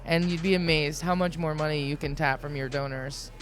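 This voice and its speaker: A woman hosting a podcast interview, talking casually and chattily with many fillers and restarts, her voice low for a woman. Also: fairly even intonation, a little fast, soft background music. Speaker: podcast host.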